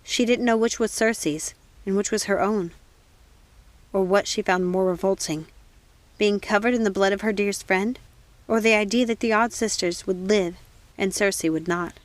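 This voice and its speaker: A woman's voice: mid-range voice, steady tone, moderate pace, no echo. A woman reading scripted storybook narration as an audiobook reader.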